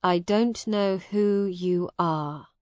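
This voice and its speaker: A stern, cold-hearted brother from an audiobook , acted by a woman. He is curt and commanding, with very slow, deliberate words in a dry recording. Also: fairly low pitch, a little mellow, smooth, no room sound. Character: cold, stern, hostile brother disowning his sibling. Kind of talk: graded audiobook character dialogue.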